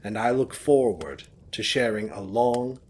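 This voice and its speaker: An actor playing a courteous, warm-hearted gentleman host of an old manor in an audio drama. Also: mid-range voice, animated, a little slow, slightly rough. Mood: gracious, sincere.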